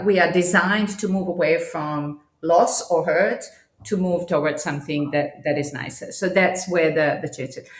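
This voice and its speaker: A woman's voice: fairly low pitch, roomy sound. A woman from Croatia, a change specialist, speaking as an interview guest in a reflective, searching way. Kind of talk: interview over video call.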